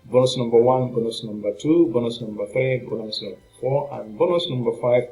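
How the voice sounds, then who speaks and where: A man's voice: mid-range voice, brisk, warm tone, poor audio. A man hosting an unscripted online product review, speaking to viewers in a salesy, repetitive and hesitant way. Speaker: online marketing product reviewer and channel host.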